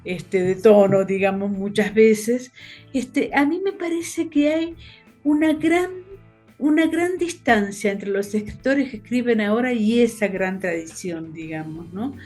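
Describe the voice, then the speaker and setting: A woman's voice: medium pitch, lively intonation, unhurried, a little mellow, faint music behind. A woman from Argentina with an Argentine accent, hosting an interview over a video call and talking casually with fillers. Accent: Argentine Spanish. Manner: conversational, fillers like "este" and "digamos". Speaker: interviewer and host. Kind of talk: casual conversation.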